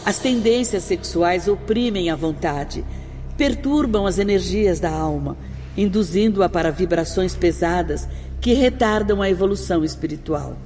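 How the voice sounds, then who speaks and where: An actor playing a solemn, stern spirit instructor, preaching formally and deliberately in a radio drama. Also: medium pitch, expressive, darkish tone, close to an everyday voice. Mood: grave, instructive. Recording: long reverb, music behind.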